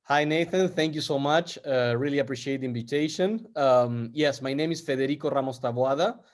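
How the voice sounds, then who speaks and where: A man's voice: mid-range voice, a little fast. A man from Argentina, speaking as an interview guest in a casual, hesitant way with many fillers and restarts. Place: based in the U.S.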